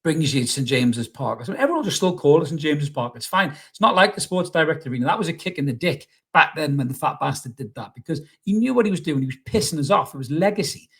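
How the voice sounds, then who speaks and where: A husky-voiced man talks quickly at a slightly raised pitch, in the casual style of a fan channel host. He rambles chattily, throws out questions and speaks his mind bluntly. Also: lively intonation, fairly bright tone. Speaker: YouTube football channel host. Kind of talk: casual opinionated fan commentary to camera.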